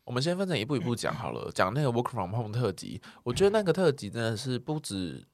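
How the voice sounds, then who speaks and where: A talkative, expressive man co-hosting a podcast, chatting casually as he replies to listener comments. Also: mid-range voice, fast, dry recording, studio quality. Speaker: podcast co-host.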